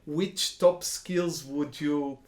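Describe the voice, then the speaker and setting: A man with a slightly high voice hosts an informal interview. He speaks haltingly, pausing between short phrases. His voice comes with clear room echo. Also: steady tone, a little mellow. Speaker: interviewer, host. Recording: echoey room sound.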